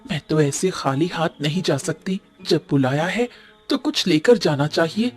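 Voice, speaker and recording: A woman's voice: low voice, animated, unhurried. A woman playing a courteous, sensible farmer's wife in a cartoon moral story, speaking politely. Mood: considerate. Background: faint music.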